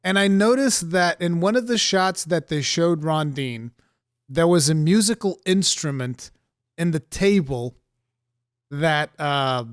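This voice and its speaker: A man from Portugal, co-hosting a podcast, telling stories in a casual, animated, emphatic way. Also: fairly high pitch, dry recording, studio quality. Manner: animated storytelling, emphatic asides. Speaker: podcast co-host. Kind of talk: casual chat.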